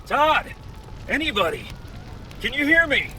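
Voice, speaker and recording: A man playing a desperate, reckless warrior hero in an audio drama, shouting with a loud, strained voice. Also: very high-pitched, very animated, unhurried, heavy background noise, exaggerated voice.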